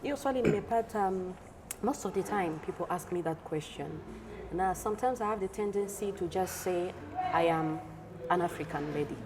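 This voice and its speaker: A woman's voice: medium pitch, fairly quick. A middle-aged woman from the Democratic Republic of the Congo, answering as a guest in a conversational interview and switching between languages. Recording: clearly noisy background ambience.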